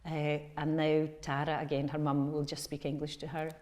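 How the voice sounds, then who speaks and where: A middle-aged woman from Poland, a native Polish speaker, talks in a low voice during an interview. She is reflective and hesitant, pausing often. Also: completely flat delivery, darkish tone. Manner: hesitant, frequent filler pauses.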